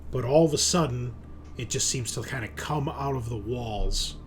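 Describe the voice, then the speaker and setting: A man's voice: mid-range voice, moderate pace, background noise. A man narrating a tabletop horror roleplaying game as game master, descriptive and conversational, with some swearing.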